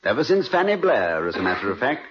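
Male actor as eloquent middle-aged college president, whimsical romantic, highly animated delivery, vintage radio drama on muffled broadcast. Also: fast talker, background noise, close to an everyday voice. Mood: amused, teasing. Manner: playfully pompous, eloquent, whimsical. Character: witty, courtly American college president in love. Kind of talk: 1950s radio drama acting. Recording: dull old radio broadcast, music behind.

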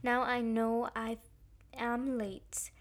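A young woman hosting her own podcast, slightly hoarse as she recovers from being sick, giving earnest advice straight to her listeners. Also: fairly high pitch, completely flat delivery, takes it slowly. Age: young adult. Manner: earnest, emphatic, addresses listeners directly. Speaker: podcast host. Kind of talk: casual solo podcast advice talk.

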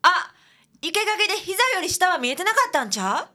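A woman's voice: very high voice, sing-song, a little fast, pushed and bright, exaggerated voice. A woman playing a breezy, chatty detective's assistant with a Kansai accent in a radio drama. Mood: breezy, friendly. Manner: casual, familiar.

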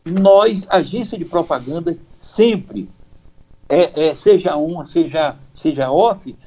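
A man's voice: a little high, slow, warm tone. An older man, an advertising veteran, talking emphatically as an interview guest and often checking that he is understood. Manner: emphatic, keeps asking "entendeu?". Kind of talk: casual interview talk.

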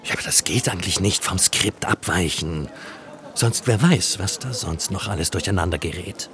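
An actor playing a fussy, impatient co-narrator in an audio play, nagging and correcting like a stickler. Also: male voice, low voice, animated, normal speed, a bit bright. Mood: reproachful. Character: fussy, impatient male co-narrator who insists on the script. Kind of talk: scripted audio play, conversational.

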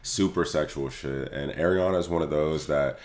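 A man hosting a casual podcast chat, hoarse from losing his voice, laughing often and talking loosely. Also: a bass voice, moderate pace, darkish tone. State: hoarse, lost voice. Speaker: podcast host.

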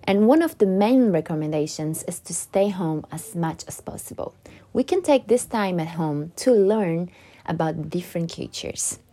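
A woman, a student hosting her own podcast and reading prepared remarks in a careful, measured way. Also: lowish voice, expressive, dark tone. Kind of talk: scripted podcast talk.

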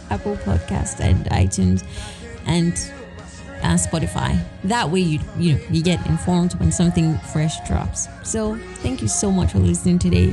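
A woman hosting a solo podcast, talking in an earnest, encouraging way with lots of fillers, her voice a little raspy. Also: fairly low pitch. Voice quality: slightly raspy. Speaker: podcast host. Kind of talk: solo reflective podcast talk.

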